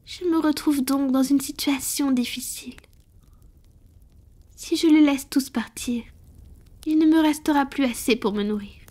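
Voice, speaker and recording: Woman playing a high, whispery female vampire. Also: dark tone. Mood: troubled, reflective. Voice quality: breathy, whispery. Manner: slow, intimate, hesitant. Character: tender, conflicted wealthy female vampire confiding in her beloved. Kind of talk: whispered ASMR roleplay, scripted monologue.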